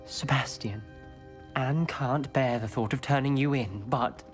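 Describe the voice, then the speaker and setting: A man's voice: mid-range voice, a little slow, lightly acted. A man playing a grave, conscientious teenage schoolboy wizard in a dramatic video game, solemn and formal, with music behind. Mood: somber.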